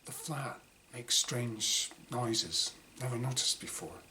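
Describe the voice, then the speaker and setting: A man reading his own first-person short story dramatically, in clipped diary-like phrases with pauses. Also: mid-range voice, expressive, pushed and bright. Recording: clearly noisy room ambience.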